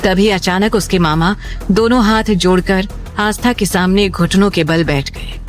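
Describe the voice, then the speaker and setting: A woman's voice: medium pitch, unhurried. Woman narrating drama over music. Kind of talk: scripted dramatic story narration. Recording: music bed under the voice.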